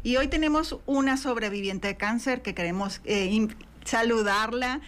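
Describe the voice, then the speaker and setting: A woman's voice: a little high, moderate pace, fairly bright tone. A woman with a Mexican Spanish accent co-hosting a radio talk show, friendly and upbeat. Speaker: radio co-host.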